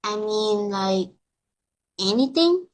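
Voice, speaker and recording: Hesitant slow-talking girl student. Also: medium pitch, animated, soft background music. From Vietnam. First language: Vietnamese. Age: child of eleven. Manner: hesitant, searching for words. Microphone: video call.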